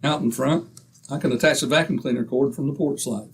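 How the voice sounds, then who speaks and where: An actor playing a boastful, wisecracking husband in a 1940s-style radio comedy. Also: male voice, mid-range voice, fairly quick, noisy background, lightly acted. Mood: brash. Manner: brash, wisecracking. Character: boastful, blustering middle-aged husband.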